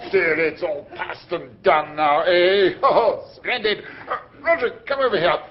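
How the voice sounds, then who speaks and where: A blustering, self-satisfied old magistrate in a radio play, his voice sitting high and reedy. The sound is a little dull and lo-fi. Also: a little slow. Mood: pompous. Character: pompous elderly male magistrate, blustering. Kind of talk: radio drama acting. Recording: dull lo-fi audio.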